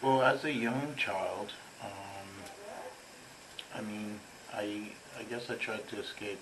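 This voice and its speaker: A man's voice: lowish voice, steady tone, slow pace. A man from London with a London English accent, giving a casual personal account as an interview guest. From London, England. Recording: noticeable background noise.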